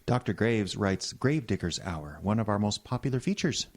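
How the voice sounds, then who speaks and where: A man's voice: lowish voice, sing-song, normal speed. A man acting a glib, enterprising radio station worker in an audio comedy drama, wry and chatty. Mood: matter-of-fact. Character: glib, enterprising male small-town radio station newcomer.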